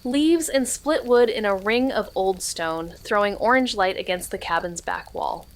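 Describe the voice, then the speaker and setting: A woman's voice: medium pitch, lightly acted. Terse noir woman sleuth narrating, acted by a woman. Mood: brooding, wry. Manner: terse, measured, atmospheric. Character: wry, hard-boiled female amateur sleuth telling her story.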